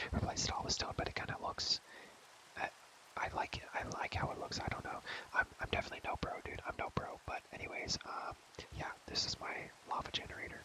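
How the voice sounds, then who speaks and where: A young man whispering close to the mic in a breathy, rambling ASMR gaming chat, softly repeating his phrases. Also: rough recording. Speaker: ASMR video creator. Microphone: close ASMR microphone.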